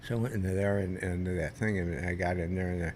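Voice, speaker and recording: An older man, a veteran guest, telling stories in a rambling, halting way with many restarts and a loud voice that carries. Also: sits low, fairly even intonation, slight background noise. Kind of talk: casual storytelling interview.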